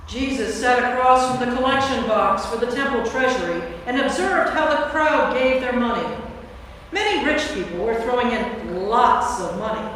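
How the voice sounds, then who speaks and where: A woman's voice: a little high, animated, moderate pace, fairly bright tone. A woman narrating a scripted scripture story as part of a staged reading. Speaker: narrator, priest. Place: large reverberant church. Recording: echoey church, distant mic, faint noise.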